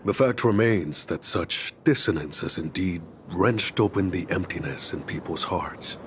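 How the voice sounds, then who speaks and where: A man playing a grave, weary, formal community leader in a video game, speaking in a measured, solemn way. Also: deep voice, background noise.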